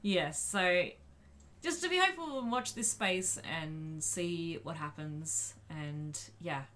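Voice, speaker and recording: A woman's voice: lowish voice, expressive, takes it slowly, bright, forceful tone, a little hiss or hum. A woman co-hosting a podcast in a casual fan discussion. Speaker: podcast co-host.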